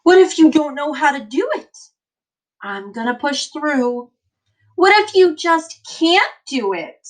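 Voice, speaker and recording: A woman's voice: high voice, big pitch swings, takes it slowly. A woman in the role of a teacher reading a picture book aloud to children, speaking directly to them with emphatic encouragement.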